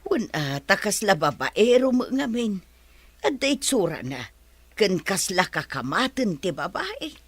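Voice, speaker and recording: A woman's voice: mid-range voice, sing-song, unhurried, slightly rough, no room sound. A woman with an Ilocano Filipino accent playing a concerned, practical middle-aged village mother in a radio drama. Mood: doubting.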